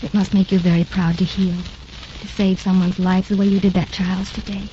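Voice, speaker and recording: A woman's voice: mid-range voice, little pitch movement, brisk, dark tone, lightly acted. Sincere young nurse played by a woman, noisy recording. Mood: earnest, admiring. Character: sincere, understanding, admiring young female nurse. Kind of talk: 1940s radio drama acting. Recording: noisy, dull 1940s radio broadcast.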